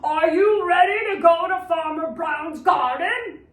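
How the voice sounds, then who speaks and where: Woman putting on a blustering, drawn-out storybook big bad wolf. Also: very high-pitched, distant mic. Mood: coaxing, sly. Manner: growling, drawn-out calls and threats. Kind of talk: dramatic children's storybook read-aloud. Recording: echoey room.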